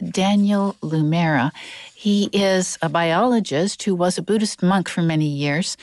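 A woman interviewer asking a guest measured, formal questions. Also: on the low side, a little mellow, dry recording.

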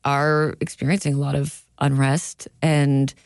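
Low-voiced woman radio host. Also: flat delivery, a little slow, darkish tone, no room sound, clean studio sound. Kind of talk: broadcast interview.

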